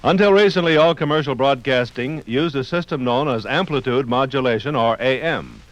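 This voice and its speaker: A man's voice: mid-range voice, moderate pace, poor audio. An American man reading scripted 1950s radio documentary narration in a formal, explanatory announcer's manner. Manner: clear explanatory phrasing, formal announcer delivery.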